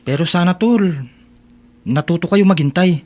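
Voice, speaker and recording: Man acting young male student, high voice, gentle, concerned, casual friend, radio drama. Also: normal speed, lightly acted. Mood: concerned, friendly.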